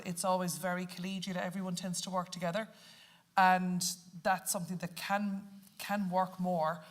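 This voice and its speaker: An Irish woman lecturer on a panel, talking in a chatty, rambling way. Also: on the low side. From Ireland. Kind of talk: panel discussion.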